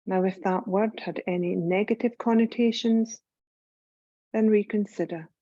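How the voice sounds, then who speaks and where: Slow, mellow woman guiding meditation. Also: medium pitch, no echo, lo-fi sound. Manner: calm, soothing, unhurried, with long pauses. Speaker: yoga nidra guide. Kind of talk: guided meditation, scripted.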